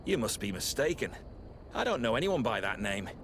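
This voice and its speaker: A defiant guard lieutenant, noisy background. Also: male voice, medium pitch, big pitch swings, brisk, a bit bright. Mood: evasive. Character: defiant male guard lieutenant running a criminal gang. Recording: noticeable background noise.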